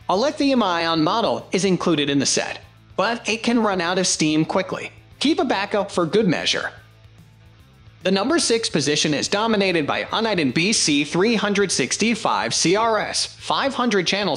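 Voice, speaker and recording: A product review narrator reads from a script with lively, varied intonation. The voice sits at a middling pitch, with music playing softly behind it.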